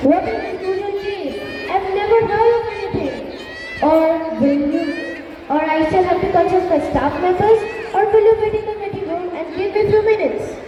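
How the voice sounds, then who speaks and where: A woman's voice: very high-pitched, expressive, normal speed, dark tone, some chatter behind. A woman acting in an amateur stage play as a flustered, indignant, exclamatory school principal. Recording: echoey hall, far mic, noisy audience.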